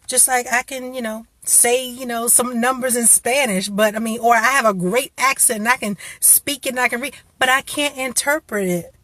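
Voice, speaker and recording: A woman's voice: on the high side, steady tone, moderate pace, hardly any pauses, bright, forceful tone, dry recording. A woman hosting a casual motivational talk, giving encouraging career advice in a conversational way with frequent restarts. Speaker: career coach host.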